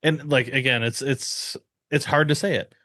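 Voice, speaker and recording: A man's voice: medium pitch, fairly even intonation, normal speed, bright, forceful tone, no echo, clean studio sound. A man co-hosting a podcast, talking sport in a casual, slangy and agreeable conversational way. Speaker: podcast co-host. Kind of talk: casual sports chat and analysis.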